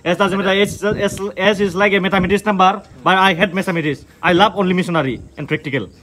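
A man's voice: high voice, fairly even intonation, fast talker, fairly bright tone. A young man from Northeast India hosting his own birthday vlog, joking in broken English and often tagging "na?". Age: young adult. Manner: jokey, broken English, tag "na?". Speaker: vlogger, birthday host. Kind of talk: casual comedic chat. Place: open grassy field outdoors.

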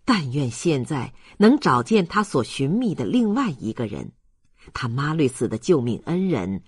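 A man reading a novel aloud as an audiobook narrator, in an expressive literary storytelling style. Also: medium pitch, normal speed, husky, no echo, clean studio sound.

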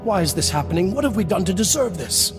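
A distressed desert mayor in a video game. His voice is fairly high and creaky, earnest and pleading. Music plays behind him. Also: very animated, quick pace. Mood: anguished, pleading. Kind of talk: dramatic video game voice acting.

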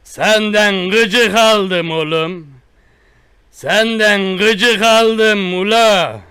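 Prank-call menace: man playing a boastful country tough guy, high bright voice, unhurried threats. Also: fairly even intonation, clean tone, no room sound, exaggerated voice. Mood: menacing. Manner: slow drawn-out threats, repetitive. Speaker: prank caller. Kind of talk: one-sided prank call.

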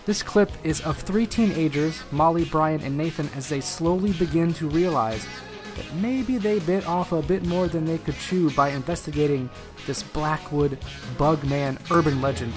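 Male podcast host, casual delivery, fairly high register, clear music bed underneath. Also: normal speed. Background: music with guitar.

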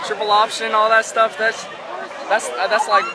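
A man's voice: sits high, monotone, normal speed. A young American man, a football quarterback, chatting casually in a postgame interview. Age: young adult. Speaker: high school football quarterback. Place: outdoors at night by a stadium building. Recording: loud crowd and outdoor field noise.

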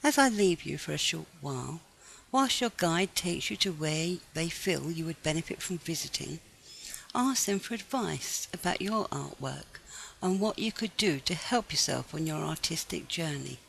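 Creaky-voiced woman leading a guided meditation. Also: sits low, lively intonation, moderate pace, fairly bright tone, a little hiss or hum, poor audio. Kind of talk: scripted guided meditation narration.

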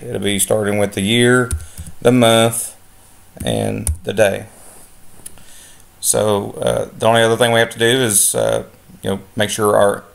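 Low gravelly man teaching software. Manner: relaxed, many "uh" fillers, step-by-step explaining. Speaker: instructor. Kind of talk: screen-recorded software tutorial. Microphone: voice-over on a screen recording.

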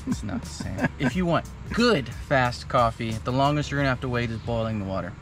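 Man in his thirties, relaxed chatty explainer, outdoors at camp, music playing underneath. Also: mid-range voice, brisk. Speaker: vlogger. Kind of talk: casual how-to demo.

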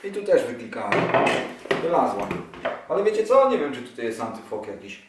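A man's voice: mid-range voice, very animated, a little fast. A man in his forties talking casually and enthusiastically as he unboxes motorcycle gear, thinking aloud while he handles it. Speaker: motorcycle vlogger. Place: small indoor room, seated at a table. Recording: echoey room, distant camera mic, audible handling noise.

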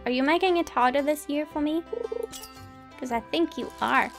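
High-voiced woman narrating her gameplay. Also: normal speed. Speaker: let's play host. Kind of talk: casual gameplay commentary, reading game dialogue aloud. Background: game music.

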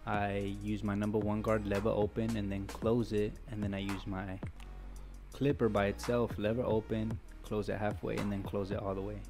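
A man, a barber, narrating a haircut tutorial step by step in a steady instructive voice-over. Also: fairly low pitch, normal speed. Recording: voice-over with loud background music.

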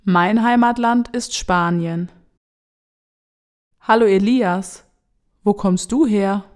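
A woman reading practice dialogues for learners. She speaks very slowly and deliberately, every word carefully enunciated, at a medium pitch. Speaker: dialogue reader in a lesson. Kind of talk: slow scripted dialogue reading.

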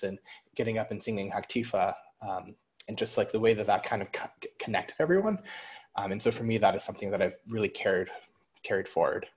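A young man wearing a headset on a video call, telling a story in a relaxed way. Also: lowish voice. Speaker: panelist. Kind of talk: casual panel talk. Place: home room, indoors.

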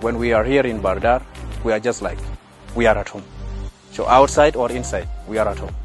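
A man's voice: fairly low pitch, a little fast, faint music behind. A young man from South Sudan, deputy chair of a student union, speaking heartfeltly outdoors on campus with frequent fillers. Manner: warm, heartfelt, uses fillers. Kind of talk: interview answers.

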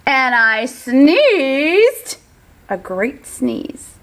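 Folksy, mock-innocent wolf for a children's tale, female reader, gravelly and very animated. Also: high-pitched, drawn-out words, full character voice. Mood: mock-innocent, chatty. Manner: mock-innocent, theatrical storytelling. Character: self-justifying folksy wolf telling his side. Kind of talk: children's storybook read-aloud.

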